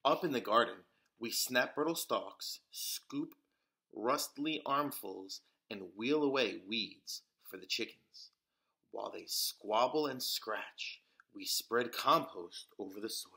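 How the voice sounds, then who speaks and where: A man reading a children's picture book aloud as a storyteller, with deliberate, dramatic emphasis. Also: on the low side, lively intonation, vocal fry, sharp tone. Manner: deliberate, dramatic emphasis with occasional whispers and exclamations.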